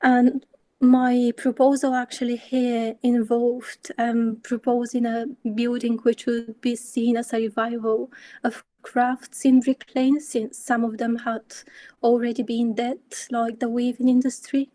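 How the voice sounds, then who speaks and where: A young woman in her twenties from Bulgaria presenting her work in an online talk, measured, with frequent ums. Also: fairly high pitch, flat delivery, dark tone, dry recording, poor audio. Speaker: architecture student speaker.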